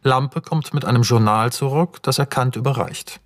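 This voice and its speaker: A man's voice: medium pitch, moderate pace, a little hiss or hum. A man narrating scene directions for a radio play in a scripted, matter-of-fact manner. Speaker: narrator reading screenplay directions.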